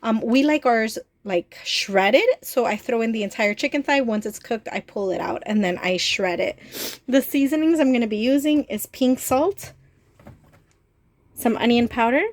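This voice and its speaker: A woman's voice: fairly high pitch, moderate pace, no echo. A woman with a stuffy head cold, chatting casually through a cooking vlog in a small RV kitchen, with lots of "like" and "um". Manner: chatty, with many "like" and "um" fillers. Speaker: RV travel vlogger.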